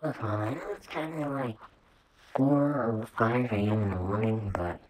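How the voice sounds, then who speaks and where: A man voicing a needy, begging pet dog in a home-made comedy, husky and nagging through a pitch-lowering voice changer. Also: normal speed, a little mellow. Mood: urgent pleading. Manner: pleading, nagging, repetitive.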